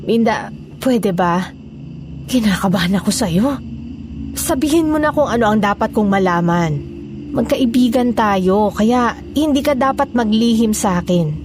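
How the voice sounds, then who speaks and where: Woman acting as anxious high-voiced wife over noise. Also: expressive, measured pace, long pauses. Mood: anxious, insistent. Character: anxious wife pressing her friend for truth. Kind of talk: Tagalog horror audio drama acting.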